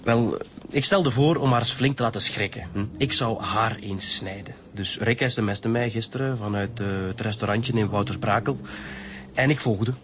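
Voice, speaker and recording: An actor playing a smooth-talking advertising boss questioned by police in a radio drama, with a Flemish accent. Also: male voice, fairly low pitch, moderate pace, crowd noise, close to an everyday voice. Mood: chatty, explaining. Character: smooth advertising boss pleading innocence to detectives. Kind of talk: naturalistic radio drama acting.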